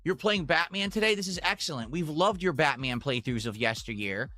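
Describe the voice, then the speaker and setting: Bright, forceful man ranting on a livestream. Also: on the high side, animated, brisk. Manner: aggrieved, complaining. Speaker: video game streamer. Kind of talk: ranting livestream talk to viewers.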